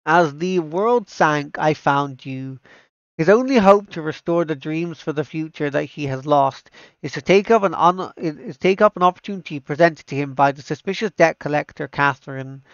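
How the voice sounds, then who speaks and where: Higher-voiced man, casual streamer, very dry sound. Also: a little fast, no echo, lo-fi sound. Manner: enthusiastic, repeats himself. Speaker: streamer reacting to anime trailers. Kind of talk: casual livestream commentary.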